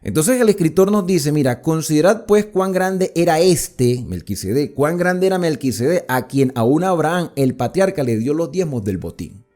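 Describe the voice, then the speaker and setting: A man's voice: a little high, lively intonation, moderate pace, hardly any pauses, warm tone, soft background music. A man from Venezuela with a Venezuelan accent from near the Colombian border, teaching a Bible study in a conversational way, asking and answering his own questions and repeating points for emphasis. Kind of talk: explanatory Bible study talk.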